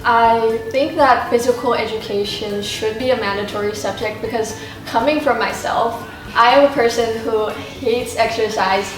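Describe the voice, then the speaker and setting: A woman's voice: fairly high pitch, fairly even intonation, normal speed. A teenage female student, a native Thai speaker, talking about school in a semi-prepared discussion. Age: teenager, high school student. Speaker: student panelist. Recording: echoey room, distant mic, music behind.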